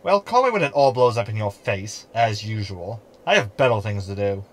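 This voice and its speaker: A man's voice: fairly low pitch, animated, moderate pace, a bit bright. A man playing a sarcastic, scheming hologram in a sci-fi comedy audio drama, wordy and mocking.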